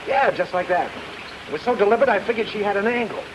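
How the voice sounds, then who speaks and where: An actor playing a glib private detective on the run, sweet-talking in a 1940s film noir style. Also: male voice, sits high, lively intonation, fast, noisy background. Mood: defensive. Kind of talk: 1940s film noir comedy-mystery acting.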